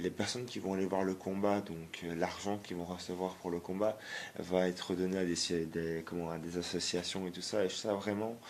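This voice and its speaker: A man, hoarse from a cold, giving a blunt, halting vlog rant full of fillers and restarts. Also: deep voice, a little mellow, slight background noise. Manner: hesitant, many fillers and restarts; blunt, disapproving. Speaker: YouTuber.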